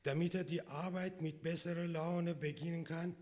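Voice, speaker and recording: A man whose first language is Persian, from Iran, reading a prepared speech at a conference in slow, measured phrases. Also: fairly high pitch, steady tone, a little mellow. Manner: slow, measured delivery with pauses between phrases. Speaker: conference speaker. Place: conference hall. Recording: reverberant hall recording.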